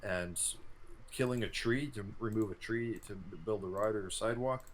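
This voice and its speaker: A man's voice: on the low side, normal speed. A man in his thirties chatting casually about gameplay as a co-host. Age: 30s. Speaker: co-host of gaming series. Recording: clear background noise and ambience.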